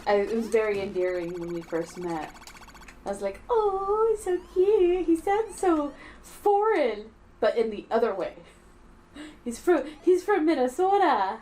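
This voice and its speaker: A woman's voice: high-pitched, animated, unhurried, warm tone, slight background noise. A woman chatting casually and teasingly while watching a retro game being played. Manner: playful, teasing, giggly. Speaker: co-host watching a playthrough. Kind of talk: casual gaming chat.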